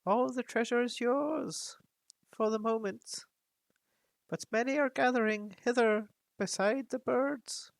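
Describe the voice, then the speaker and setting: A woman voicing a huge, very old male raven chief who speaks with a croaking, solemn, measured voice. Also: on the high side, no room sound, voice pushed up. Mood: solemn warning.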